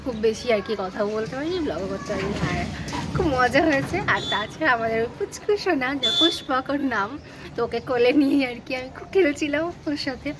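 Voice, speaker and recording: Woman, high-pitched, casual vlogger, clearly noisy home recording. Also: animated, brisk. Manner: cooing baby talk to an infant. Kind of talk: casual vlog chat.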